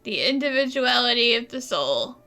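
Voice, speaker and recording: A woman's voice: fairly high pitch, very animated, moderate pace, vocal fry, sharp tone. A woman giving casual, wry, informal commentary as she plays through a video game. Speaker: gaming streamer. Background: game music.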